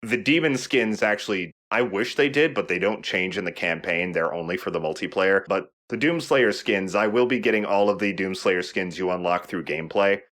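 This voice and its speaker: Man, low voice, casual let's play host, explanatory, joking, a bit profane. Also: moderate pace, few pauses, fairly bright tone. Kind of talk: casual game commentary.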